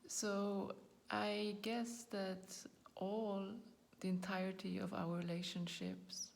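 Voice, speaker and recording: Host, woman, 40s, non-native English, flat gravelly delivery, soft faint noise behind, reflective and searching for words. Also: medium pitch, takes it slowly. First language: not English. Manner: reflective, searching for words, often trails into a whisper. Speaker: YouTube channel host. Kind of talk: personal talk to camera.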